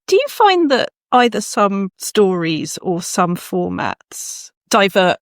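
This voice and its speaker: A woman's voice: medium pitch, big pitch swings, takes it slowly, no echo. A woman hosting a conversational interview in a reflective, encouraging manner. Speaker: interviewer, podcast host.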